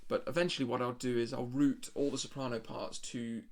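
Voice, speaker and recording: Middle-aged man, creaky voice, thinking aloud. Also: mid-range voice, little pitch movement, brisk. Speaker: amateur home-recording musician and streamer. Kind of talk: casual screen-share walkthrough, thinking aloud. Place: small room at home, indoors.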